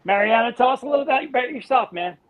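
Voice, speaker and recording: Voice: medium pitch, animated, quick pace. A host casually moderating a live video chat and introducing the other speakers. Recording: tinny phone-like video call.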